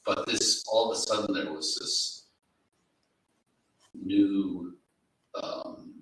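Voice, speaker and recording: Low-voiced, halting man in an echoey room. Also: a bit bright. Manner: hesitant, halting, reflective. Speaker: publisher and editor discussing poetry. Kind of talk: unscripted literary panel talk. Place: indoor library room at a live event. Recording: very echoey room, distant mic, faint noise.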